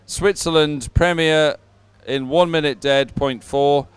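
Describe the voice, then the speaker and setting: A man's voice: on the high side, fairly even intonation, slow pace, clean tone, dry recording. A man commentating live on a sporting event and reading out results in a chatty, ad-libbed way.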